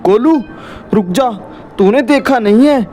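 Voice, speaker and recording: A man's voice: very high-pitched, animated, a little slow, dark tone, voice pushed up. A man voicing a timid, anxious village boy in a horror cartoon story, fearful and pleading. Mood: alarmed.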